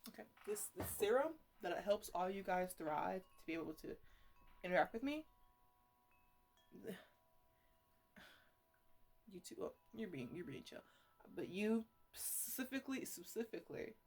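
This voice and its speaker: A woman's voice: mid-range voice, expressive, normal speed, long pauses. A woman playing a teasing, slangy botanist who chats to her plants in a casual ASMR roleplay. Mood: teasing, scolding. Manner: rambling, bantering, slangy.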